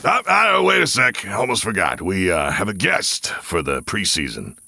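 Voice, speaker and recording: Middle-aged male coach, deep and husky, forceful, folksy teasing, audio drama. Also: very animated, moderate pace, dry recording, clean studio sound, exaggerated voice. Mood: casual, offhand. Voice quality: gravelly. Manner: stern but folksy, teasing.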